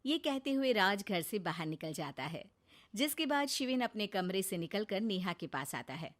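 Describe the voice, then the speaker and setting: Lively woman storyteller. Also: medium pitch, normal speed. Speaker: narrator. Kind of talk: storybook narration.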